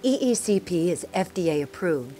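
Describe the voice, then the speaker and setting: A woman's voice: fairly low pitch, animated, normal speed, warm tone, faint music behind. A woman reading scripted promotional narration as the narrator of an advert.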